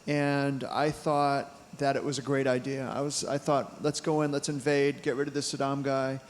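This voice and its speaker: A man's voice: mid-range voice, level tone, normal speed. Hesitant middle-aged man in an echoing hall. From United States. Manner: hesitant, many fillers and restarts. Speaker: photojournalist speaker. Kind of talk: candid panel Q&A answers. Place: brewery event hall. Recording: long reverb in a brewery hall, faint room noise.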